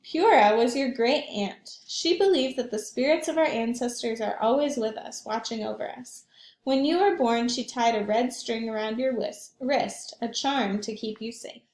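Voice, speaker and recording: A woman voicing a gentle, loving storybook father who tells family history in a measured, tender way. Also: fairly high pitch, echoey room, natural voice. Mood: gentle, reassuring.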